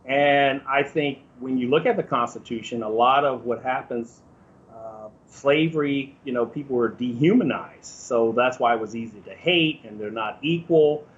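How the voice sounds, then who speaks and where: A man's voice: mid-range voice, normal speed, lo-fi sound. An American man speaking informally as an interview guest, explaining his opinions in a conversational way.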